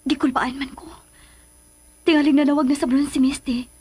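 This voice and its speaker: A woman's voice: high-pitched, flat delivery, normal speed, natural voice. A woman with a Cebuano accent playing an anxious, protective middle-aged mother in love in a radio drama. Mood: worried.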